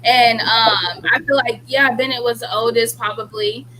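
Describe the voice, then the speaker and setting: A woman's voice: on the high side, steady tone, moderate pace, pushed and bright, low-quality recording. A woman in her twenties chatting casually, hesitant and leaning on fillers as she makes her point. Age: 20s. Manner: hesitant, full of fillers and restarts. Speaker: viewing-party panelist. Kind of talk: casual group chat.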